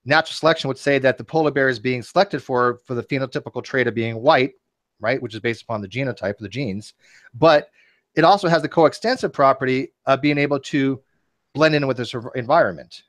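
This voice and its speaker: Male guest debater, quick delivery, casual but argumentative, dry audio. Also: medium pitch, a bit bright, no room sound.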